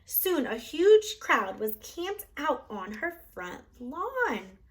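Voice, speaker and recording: A woman with a high voice reads a children's storybook aloud. Her pitch swings in a lively way, and she leans on dramatic words to bring the story to young listeners. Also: takes it slowly. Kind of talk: expressive scripted storybook narration.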